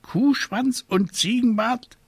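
A man voicing a fussy, scolding talking raven in a croaky, indignant storybook performance. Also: very high voice, takes it slowly, no echo, voice pushed up, full character voice. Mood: admonishing, sceptical. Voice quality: croaky, squawking. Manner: indignant, nagging.

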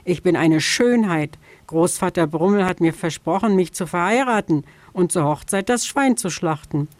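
Woman doing a boastful straw scarecrow, gruff, children's radio story, raised pitch, dry close sound. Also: normal speed, darkish tone, no echo, natural voice. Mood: smug. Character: scarecrow, proud male straw guardian.

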